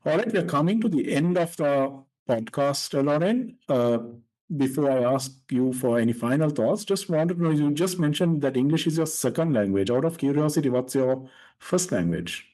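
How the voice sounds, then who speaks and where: A man's voice: fairly high pitch, moderate pace, low-quality recording. Man hosting a casual podcast interview. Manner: relaxed, explanatory, filler "uh" and "basically". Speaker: podcast host.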